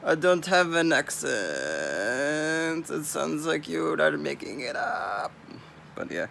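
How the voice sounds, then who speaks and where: A man's voice: a little high, big pitch swings, takes it slowly, creaky, sharp tone, slight background noise. A young man from California with a Californian accent, rambling bluntly and swearing freely in a casual vlog. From California, US West Coast. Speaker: vlogger.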